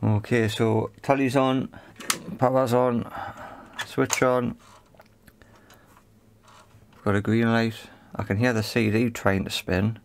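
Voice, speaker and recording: A man's voice: medium pitch, level tone, slow, vocal fry, long pauses, a little hiss or hum. A man giving casual, unscripted commentary on a hands-on repair, thinking aloud in short phrases. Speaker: host of an electronics repair video.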